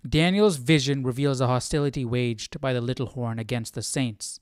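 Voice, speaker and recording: A man's voice: medium pitch, normal speed, clean tone, no room sound, clean studio sound. A man hosting a livestream Bible study, talking informally and thinking aloud. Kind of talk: casual livestream chat and study commentary.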